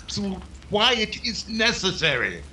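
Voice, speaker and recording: A man's voice: high-pitched, big pitch swings, unhurried, sharp tone, slight background noise, exaggerated voice. A man playing an earnest, commanding psychic investigator who speaks formally and intensely, in a 1930s-style radio drama. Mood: commanding, tense.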